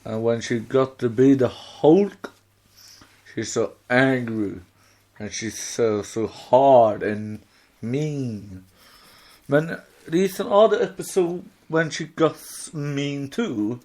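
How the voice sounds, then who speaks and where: A man's voice: mid-range voice, slow, a little mellow. A man with a Swedish accent chatting casually as a podcast co-host, searching for words in non-native English. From Sweden. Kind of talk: casual chat.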